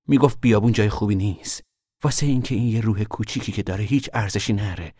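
A brooding young fugitive speaks earnestly and a little stubbornly, his voice on the low side, as an actor plays him. It sounds dry and close, with no room echo, in an audio drama. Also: a little mellow, lightly acted.

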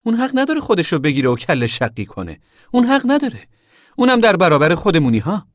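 A man voicing a stern, indignant committee woman in a story, sharp, scolding and commanding. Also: medium pitch, big pitch swings, no room sound, studio quality. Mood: angry.

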